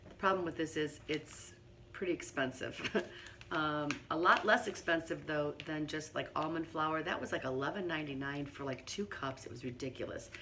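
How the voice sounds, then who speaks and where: A hoarse middle-aged woman with laryngitis, talking quietly and partly whispering as she demonstrates a recipe in her home kitchen. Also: low-pitched, normal speed, slight background noise. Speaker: home cooking host. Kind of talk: casual cooking demonstration.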